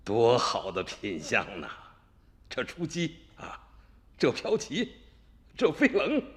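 A man's voice: mid-range voice, huge pitch swings, takes it slowly. An actor playing a greedy gang boss who gloats and savors his loot. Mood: gloating. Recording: reverberant radio drama with music.

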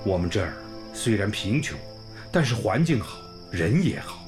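An expressive, kindly old village principal. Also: male voice, lowish voice, normal speed. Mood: worried, wistful. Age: older, around sixties. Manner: effusive, homely, sighing and exclaiming. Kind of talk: radio drama acting. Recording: music bed under the voice.

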